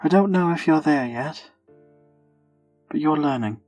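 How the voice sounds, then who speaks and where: An actor playing a reserved, clever young man in an audio drama, gently earnest, quiet and measured. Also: on the high side, no echo, faint music behind, lightly acted. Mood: gentle, earnest.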